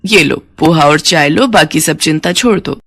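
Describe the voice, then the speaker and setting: Lady doing a kind older mom-in-law for a storybook, lower voice, soothing, softly scolding. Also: moderate pace, creaky, exaggerated voice. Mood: calm, reassuring. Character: gentle older mother-in-law, loving peacemaker.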